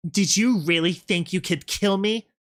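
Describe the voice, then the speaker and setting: A woman's voice: fairly low pitch, sing-song. Woman putting on a cruel, mocking pegasus tyrant. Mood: arrogant mockery. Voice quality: guttural, growling. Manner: taunting, drawn-out, menacing. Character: cruel, mocking female pegasus tyrant, guttural and godlike arrogant.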